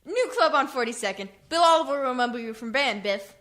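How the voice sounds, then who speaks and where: A woman's voice: sits high, expressive, quick pace, a bit bright. A woman playing the chirpy, wisecracking grown child of a jazz family in a comic radio play. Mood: chipper, teasing.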